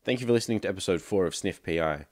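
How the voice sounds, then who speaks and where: A man's voice: low-pitched, rapid-fire, darkish tone, faint music behind. A man hosting a casual podcast outro with wry, joking asides. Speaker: podcast host and creator.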